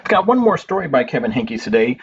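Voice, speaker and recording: A man's voice: mid-range voice, big pitch swings, fairly quick, breathy edge. A man hosting a children's storytime from home, speaking simply as he reads aloud and chats to young listeners. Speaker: library storytime host. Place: at home, indoors. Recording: dull home recording with background noise.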